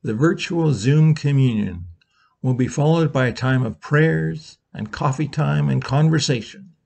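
Older man, soft benediction by webcam. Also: a little high, creaky. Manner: quiet, measured reading. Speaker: minister. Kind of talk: benediction and announcements. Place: home room. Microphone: webcam on video call.

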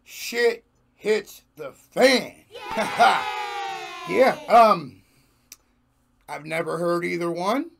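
A young man hosting a reaction video in casual slang, his voice hoarse, talking at a small home studio desk mic. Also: sits high, animated, very slow, pauses often, background noise. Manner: slangy, laid-back, laughs often. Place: small home studio room with jerseys on wall. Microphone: large desk microphone in front.

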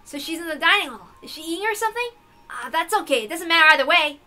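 A woman voicing a brash, excitable young man in a loud, casual, emphatic way for a visual novel. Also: very high voice, fast, soft background music, pitched above the performer's own voice, full character voice. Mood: excited.

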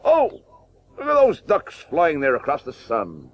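Blustering high-pitched Victorian father. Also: wildly swooping pitch, unhurried, slight background noise. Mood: hearty. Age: middle-aged. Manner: booming, emphatic, clipped phrases. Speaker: actor. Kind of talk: 1980s radio drama acting. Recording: muffled old radio broadcast recording.

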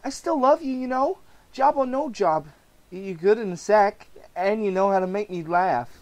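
A man voicing a gentle, reassuring pregnant wife in a comic book reading. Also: sits high, lively intonation, moderate pace. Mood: soothing.

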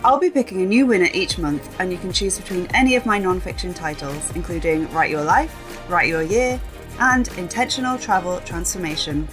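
Chatty woman podcast host, lower voice. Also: lively intonation, a little fast, music in the background. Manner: chatty, laughs often. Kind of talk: casual solo podcast monologue.

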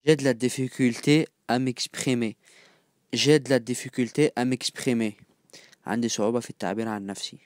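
A man with an Egyptian accent teaching phrases, repeating each one slowly and then glossing it in quick, casual asides. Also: medium pitch, steady tone, dry recording, clean studio sound. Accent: Egyptian Arabic. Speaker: language teacher. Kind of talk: phrase-by-phrase language lesson.

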